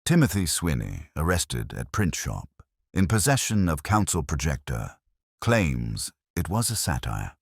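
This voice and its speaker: Detective drama inspector, played by a male narrator: very low, measured, wry, animated intonation, pointed questioning. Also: dry recording, clean studio sound, heavily acted. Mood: matter-of-fact. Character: gentle, wry, probing male police inspector.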